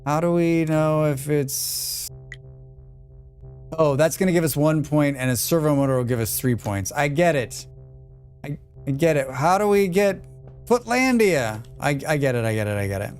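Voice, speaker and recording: A man's voice: a little high, animated, moderate pace, faint music behind. Scratchy-voiced man thinking aloud. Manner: thinking aloud, muttering while playing. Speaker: streamer playing a demo. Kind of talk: live gameplay commentary.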